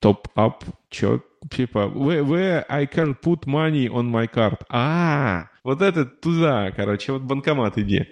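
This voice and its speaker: A man's voice: medium pitch, expressive, measured pace, few pauses. Rambling, easygoing man telling stories. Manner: rambling storytelling with frequent fillers and restarts. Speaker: podcast guest living abroad. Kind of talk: casual chat.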